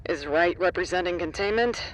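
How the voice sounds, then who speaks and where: A man with a notably high voice an actor plays a brisk facility staffer in an audio drama. He talks in short, blunt, defiant bursts, conversational and to the point. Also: lightly acted, low-quality recording. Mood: urgent, conspiratorial.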